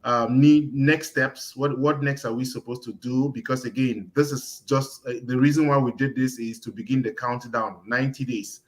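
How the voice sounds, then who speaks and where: A man of middle pitch who moderates a casual panel and keeps things moving. He talks in a brisk, organizing way and sounds like he is on a video call. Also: steady tone.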